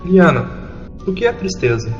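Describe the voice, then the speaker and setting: A man's voice: a little high, very animated, normal speed, dull audio, faint music behind. A man playing an earnest, nervous young orphan boy adventurer in an audio fantasy storybook, speaking hesitantly. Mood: timid, curious.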